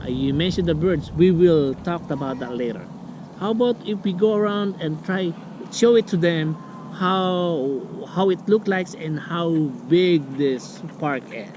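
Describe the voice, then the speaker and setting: A middle-aged man speaks English as a second language, chatty and halting, with a slightly raised voice. He is recorded by a camera on a lakeside park table, with clear outdoor noise behind him. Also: on the high side, muffled recording. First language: not English. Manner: chatty, halting, with many restarts and fillers. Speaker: vlog host. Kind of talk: casual family vlog chat.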